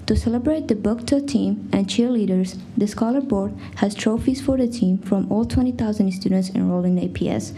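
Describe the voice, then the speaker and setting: A teenage girl, a Farsi native speaker, reading a prepared statement at a school board meeting with careful, steady delivery. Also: medium pitch, a little fast, hardly any pauses, a little hiss or hum. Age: teens. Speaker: student speaker.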